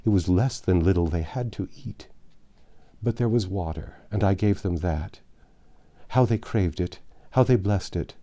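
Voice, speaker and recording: A man's voice: low-pitched, unhurried, dark tone. A man reading an audiobook as a grieving, starving peasant mother, telling of hardship in impassioned, archaic speech. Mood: anguished. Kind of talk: dramatic audiobook character reading.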